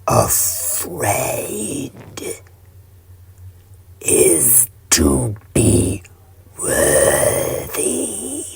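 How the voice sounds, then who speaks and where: Man voicing an eerie, drawn-out monster queen. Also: on the low side, creaky, husky, heavily acted. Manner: halting, drawn-out, solemn. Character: inhuman ancient monster queen, regal and commanding.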